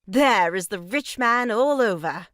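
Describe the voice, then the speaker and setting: A woman's voice: mid-range voice, expressive, a little slow, fairly bright tone, dry recording, studio quality. An actress playing a meddling, pompous woman in a stage farce, declaiming with melodramatic grievance. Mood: indignant, melodramatic. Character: meddling, theatrically aggrieved sister-in-law, grandly moralizing woman. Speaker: voice actor. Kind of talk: stage farce audio drama acting.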